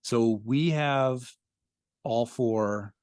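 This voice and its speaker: A middle-aged man, a podcast creator who talks about his work in a thoughtful, measured way. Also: medium pitch, darkish tone, smooth, no echo. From Spokane Valley, Washington. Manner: thoughtful, measured, some hesitations. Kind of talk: casual interview chat.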